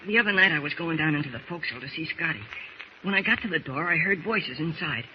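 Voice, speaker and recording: An actor playing an earnest, anxious young lad in an old-time radio drama, speaking hesitantly. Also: male voice, a little high, lively intonation, fast, close to an everyday voice. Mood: reluctant, confiding. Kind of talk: 1940s radio acting. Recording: dull, hissy old radio recording.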